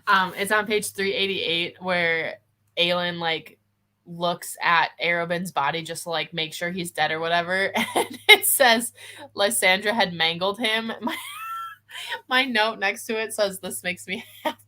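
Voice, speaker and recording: A woman with a bright, forward voice co-hosts an online book chat. She talks informally and loosely, with a giggly streak, as if on a video call with a friend. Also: fairly low pitch, unhurried. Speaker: co-host of book discussion live show. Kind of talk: casual livestream book chat. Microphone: video call over internet.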